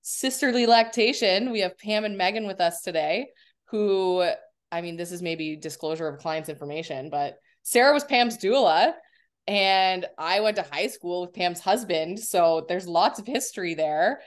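A woman's voice: on the low side, moderate pace. A woman co-hosting a podcast who introduces the guests in a friendly, casual way. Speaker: podcast co-host.

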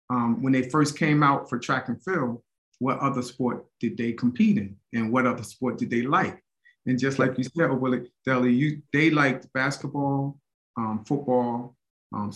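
A man co-hosts a casual podcast, talking in a loose, rambling way with easy laughs. His voice sits at an ordinary middle pitch. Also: fairly bright tone. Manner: rambling, conversational, laughs easily. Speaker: co-host, former high school track coach.

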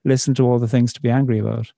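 A middle-aged man, a poet, speaking in a thoughtful, measured way with many pauses in an interview. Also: mid-range voice, flat delivery, gravelly, dark tone, no room sound. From Ireland. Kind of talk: reflective conversational interview.

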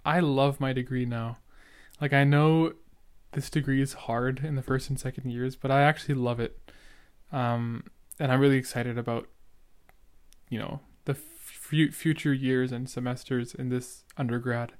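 A young man with a noticeable stutter talks through his thoughts in a casual, rambling way. He sounds earnest and leans on fillers as he comments on an old recording of himself. His voice sits at an ordinary pitch. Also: fairly even intonation, moderate pace, smooth. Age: young adult. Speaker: podcaster reacting to his own episode.

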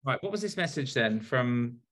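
A man's voice: mid-range voice, expressive, normal speed, a bit bright. A man co-hosting a podcast, dropping dry, teasing quips. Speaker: podcast co-host. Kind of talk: casual chat.

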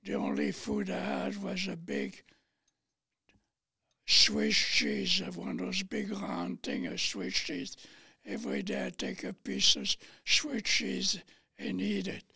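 A man in his late nineties with a French accent tells his own story plainly and haltingly. His voice is high and very husky, breaking into heavy fry. He takes his time between phrases as an interview guest. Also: huge pitch swings, sharp tone, no echo. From Paris, France. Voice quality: raspy, thin, breathy. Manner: plain, halting storytelling in non-native English. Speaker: interview guest, war veteran. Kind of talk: recounting personal memories in interview.

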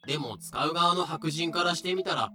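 Male explainer narrator, slightly high, crisp bright tone, measured scripted delivery. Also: soft background music.

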